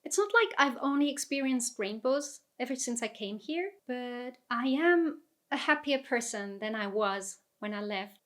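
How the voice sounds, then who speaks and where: A woman, her voice sitting a little on the high side. She talks in a chatty, reflective vlog manner and pokes fun at herself now and then. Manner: chatty, self-deprecating, laughs often. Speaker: vlogger.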